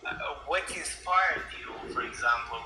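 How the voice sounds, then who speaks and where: A man in his thirties from Romania, speaking English with a Romanian accent as a second language, halting and searching for words as a guest in a casual chat. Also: medium pitch, animated, noisy background. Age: 30s. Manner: hesitant, halting, many fillers and restarts.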